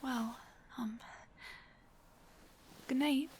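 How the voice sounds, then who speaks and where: Shy, slow-spoken dullahan girlfriend, acted by a woman. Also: high voice, fairly even intonation, background noise. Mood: tender, playful.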